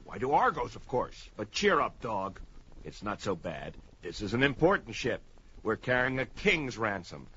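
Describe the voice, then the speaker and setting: An actor playing a bullying, mocking deckmaster on a slave ship, barking orders in a pulp fantasy audio drama. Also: male voice, on the low side, big pitch swings, normal speed, bright, forceful tone, slightly rough, full character voice. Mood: gloating. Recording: dull, lo-fi, slightly noisy.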